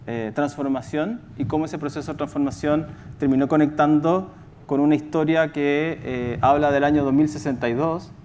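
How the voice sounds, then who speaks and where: A man with a Chilean accent giving an informal conference presentation as a company executive, halting and correcting himself as he goes. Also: a little high, steady tone, darkish tone, slight background noise.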